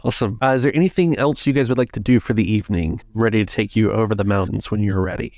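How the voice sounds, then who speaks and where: A man's voice: fairly low pitch, fast, creaky, a little mellow, dry recording, studio quality. A man acting as game master, narrating scenes and refereeing a tabletop role-playing game.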